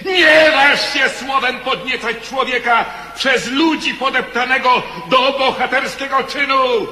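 A man's voice: very high voice, measured pace, pushed and bright, exaggerated voice. A man playing a defiant accuser in a radio play, declaiming shrilly. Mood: defiant, scornful. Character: defiant, accusing voice challenging a hero. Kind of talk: poetic radio play acting. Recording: echoey hall reverb.

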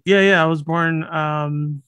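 A man in his late thirties from Los Angeles, a podcast guest talking in a relaxed, thoughtful way with many fillers and restarts. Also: a little high, slow pace, clean tone, no echo. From Los Angeles, USA. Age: late 30s. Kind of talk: casual conversational interview. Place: home room, over a video call.

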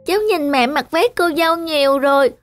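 A plaintive little cartoon girl, voiced by a woman, with an extremely high, childlike pitch. She sounds earnest and attached, and soft music plays behind her. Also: fast, close to an everyday voice. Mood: resentful. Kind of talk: cartoon voice acting.

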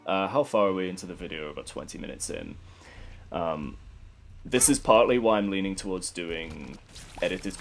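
Young male gaming commentator, deep and stuffy, rambly and candid, swears freely. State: congested from a cold. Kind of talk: casual gameplay commentary.

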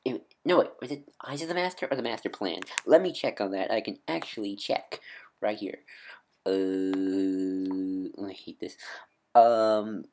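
A man's voice: on the low side, a little slow, darkish tone, slight background noise. A man talking casually as a video host, rambling and often correcting himself mid-sentence. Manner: rambling, many restarts, self-correcting. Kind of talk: casual rambling fan commentary.

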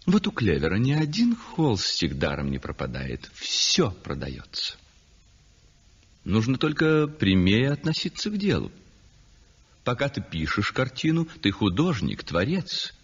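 A worldly, pleased-with-himself painter holds forth in a 1960s radio drama. His pitch swings dramatically and his tone is husky, chatty and smug. The old recording sounds dull, with a long reverb. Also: exaggerated voice. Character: self-satisfied, worldly male painter, chatty and mercenary. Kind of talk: 1960s radio play acting, confiding monologue.

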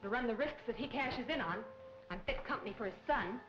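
A woman's voice: fairly high pitch, lively intonation, fairly quick, reverberant, lightly acted. An actress playing a proud young woman in a 1940s crime film, cool and firm in manner. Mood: defiant. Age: young adult. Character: proud, defiant young woman mixed up in smuggling. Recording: dull 1940 film soundtrack, music behind.

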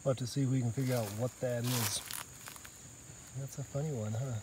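A man's voice: medium pitch, fairly quick. A man in his thirties chatting casually while walking in the woods, filming himself on a phone held at arm's length. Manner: relaxed, chatty, joking with his son. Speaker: vlogger and father. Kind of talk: casual outdoor vlog chat. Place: outdoors in summer woods. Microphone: handheld phone at arm's length. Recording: outdoor insect noise behind. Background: crickets and insects.

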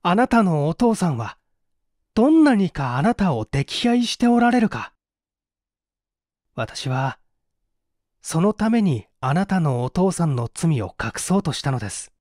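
A man's voice: on the high side, big pitch swings, measured pace, pauses often, darkish tone, dry recording, clean studio sound. A man voicing an earnest, dutiful young man who speaks politely and formally in a dramatized story reading. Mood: heartfelt. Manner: formal, earnest, emphatic phrasing.

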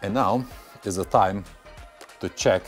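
Deep-voiced man vlogging outdoors. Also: takes it slowly, faint music behind. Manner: friendly, addresses viewers as guys, non-native phrasing. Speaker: vlogger testing a battery. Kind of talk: scripted voice-over intro, then casual on-camera chat. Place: outdoors on a stone barn patio. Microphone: clip-on lapel mic.

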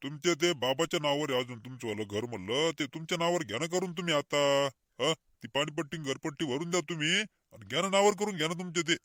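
A practical cartoon village headman voiced by a man with a rural Maharashtrian accent. He is insistent and persuasive, speaking rapidly in a fairly high, close-sounding voice. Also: fairly bright tone, no room sound, close to an everyday voice. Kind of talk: comic cartoon dialogue.

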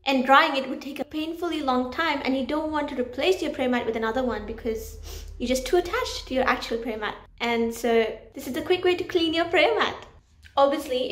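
A young woman vlogging a cleaning tutorial to a camera held at arm's length, chatty and instructive, with quiet asides while she works. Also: sits high, roomy sound. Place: small carpeted room indoors.

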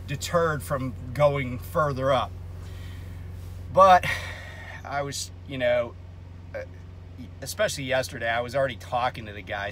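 Slow-talking trucker rambling in his cab. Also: mid-range voice, a bit bright, a little hiss or hum. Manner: rambling, frequent ums, projecting voice. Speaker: truck driver vlogger, host. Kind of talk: casual talking-head vlog. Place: parked truck cab, indoors. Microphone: camera on the dash at arm's length.

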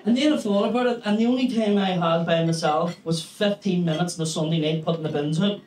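A man in his thirties with a Northern Irish accent, doing a stand-up set in a small club, animated and swearing as he tells a story. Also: high-pitched, fast talker, very noisy. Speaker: stand-up comedian. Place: small comedy club stage with brick wall. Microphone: handheld stage mic. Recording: echoey club with loud audience laughter. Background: audience laughter.

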